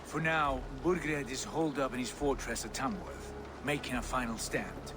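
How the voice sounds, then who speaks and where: An actor playing a steady, diplomatic Viking clan leader in a video game cutscene, composed and reassuring. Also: male voice, medium pitch, moderate pace, noisy background, close to an everyday voice. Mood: firm.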